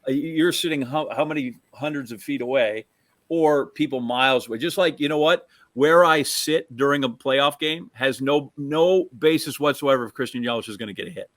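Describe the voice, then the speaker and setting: A man's voice: mid-range voice, moderate pace, a bit bright, dry recording. An American man chatting casually about sports as a co-host, opinionated and wry. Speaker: podcast co-host, sports broadcaster.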